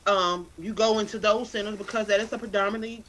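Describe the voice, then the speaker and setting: A woman from South Carolina with a Southern accent talks animatedly as an interview guest. She often repeats words as she builds up a point, with a preacherly drive. Her voice sounds bright and forceful. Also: medium pitch, fairly even intonation, fast, slight background noise, low-quality recording. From Anderson, South Carolina. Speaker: guest, literacy campaign founder. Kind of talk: casual interview talk.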